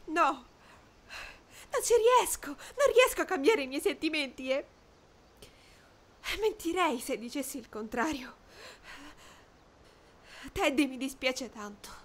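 A woman's voice: high voice, animated, takes it slowly, pauses often. A woman voicing a headstrong, anguished young woman in a dubbed period drama, pleading and insistent. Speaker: amateur dubbing voice actor. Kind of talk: emotional film dubbing in period drama.

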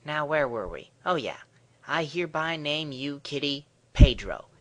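A man's voice: fairly high pitch, sing-song, a little slow, a bit bright. A man playing a cocky, carefree twelve-year-old boy hero in a comedic cartoon parody, flippant and boastful.

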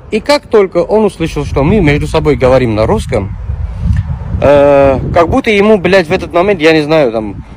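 A man from Armenia in his forties, sounding slightly higher than most men, films himself outdoors with a phone at arm's length. He rants in a casual, indignant way and swears constantly. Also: background noise. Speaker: storyteller in a reposted clip. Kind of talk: casual angry rant. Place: outdoors on a street.

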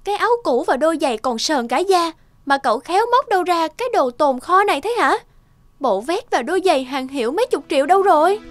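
A woman's voice: sits high, lively intonation, quick pace. A woman voicing a blunt young friend who scolds and pushes with insistent questions in a cartoon. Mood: scolding. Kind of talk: cartoon voice acting. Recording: music under the voice. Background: background music.

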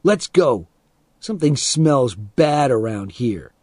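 A man's voice: medium pitch, animated, takes it slowly, a little mellow, no room sound. A man voicing a bold young boy in a story, urgent and argumentative as he protects his friend. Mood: challenging.

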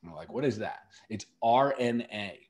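A man's voice: on the low side, expressive, normal speed, darkish tone. Middle-aged male podcast host; casual, emphatic explainer who doubles up words for stress. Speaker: natural medicine practitioner and podcast host.

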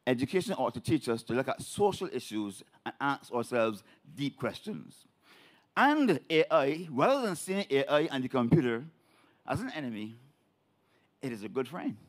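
A man's voice: mid-range voice, very animated, normal speed. A Barbadian man speaking from the floor at a public meeting, declaiming with emphatic rises. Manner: declaiming, rising to emphatic peaks. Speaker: audience member at a public meeting. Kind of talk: impassioned public speech. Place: large hall at a public meeting.

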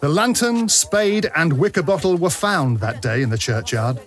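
A man narrating a dramatic scripted story in measured, deliberate phrases. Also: on the high side, animated, a bit bright. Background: music.

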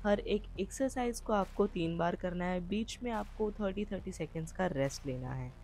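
A woman's voice: lowish voice, moderate pace, a little mellow, a little hiss or hum, rough recording. A woman giving a fitness vlog voice-over, explaining exercises and reminding viewers about posture and rest. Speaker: fitness vlogger. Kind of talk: instructional voice-over.

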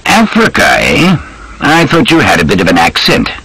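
A man's voice: lowish voice, wildly swooping pitch, normal speed, pushed and bright, breathy edge, pitched above the performer's own voice, heavily acted, rough recording. A man voicing a brash, grumbling, hard-drinking British army major in an audiobook, with clipped, slangy, bluff speech. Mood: bluff, casual. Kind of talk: audiobook character dialogue.

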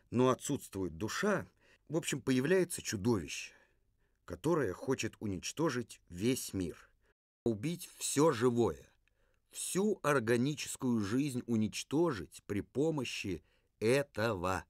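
An earnest puppet survivor from a ruined future , voiced by a man, lecturing with lively rises and falls in his voice. The sound is dry, with almost no room around it. He hesitates now and then in the middle of a thought. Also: medium pitch, no room sound. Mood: grave. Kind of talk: puppet theatre performance.

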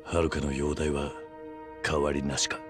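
A man's voice: very deep voice, flat delivery, a little slow, over music. A male actor playing a stern, resolute middle-aged yakuza veteran in a video game, speaking in terse, grave, blunt phrases. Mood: grave, concerned. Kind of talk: dramatic video game acting.

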